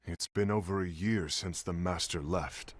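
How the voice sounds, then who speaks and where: A man's voice: sits low, a little slow. A man in an amateur fandub playing a solemn, resolute and ominous disciple. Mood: solemn resolve. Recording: noisy home recording with clicks.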